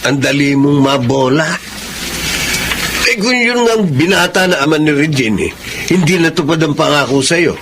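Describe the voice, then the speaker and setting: An actor playing a blunt, protective older brother in a Filipino radio drama. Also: male voice, fairly high pitch, expressive, moderate pace, noisy background. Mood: disapproving.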